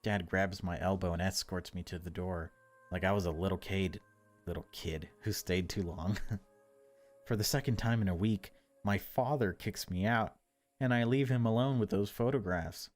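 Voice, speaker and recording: A man narrating a horror visual novel in a measured, unhurried way, with casual asides as a gaming video host. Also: deep voice, faint music behind.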